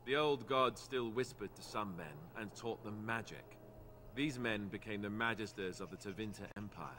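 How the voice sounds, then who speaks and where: A man's voice: lowish voice, moderate pace, pushed and bright, slight background noise. A man playing a wry, good-natured young warrior in a fantasy video game, with understated, earnest delivery. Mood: solemn, storytelling. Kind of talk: video game dialogue acting.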